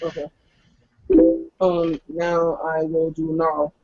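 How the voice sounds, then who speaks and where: A woman's voice: deep voice, level tone, takes it slowly, a little mellow, lo-fi sound. A woman playing a tabletop roleplaying game, chatting casually and jokingly. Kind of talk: casual, joking gaming chat.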